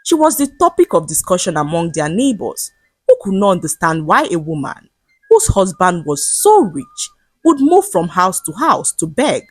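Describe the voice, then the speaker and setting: A woman narrates a folktale with a lowish, husky voice that rises and falls widely. Her delivery is measured and dramatic, like a practised storyteller. The recording is close and dry, with faint music underneath. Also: very animated, no room sound. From Nigeria.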